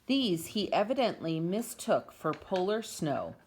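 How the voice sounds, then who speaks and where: A woman's voice: fairly low pitch, sing-song, a little slow, a little mellow, a little hiss or hum. A woman reading a children's story aloud expressively, as a parent does for the family at home.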